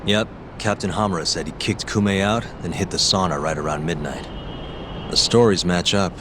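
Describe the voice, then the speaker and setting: Man playing a cool, low-voiced detective. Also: noisy background, close to an everyday voice. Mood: thoughtful, persistent. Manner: measured, probing, matter-of-fact. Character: calm, persistent male private detective, cool and tough. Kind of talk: English video game dub acting.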